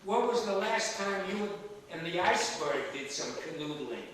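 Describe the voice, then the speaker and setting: A man's voice: sits high, lively intonation, normal speed. An older male actor playing a feisty, wisecracking elderly father in a comedic stage play, emphatic and sardonic. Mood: teasing. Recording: echoey theater stage, distant mic, faint noise.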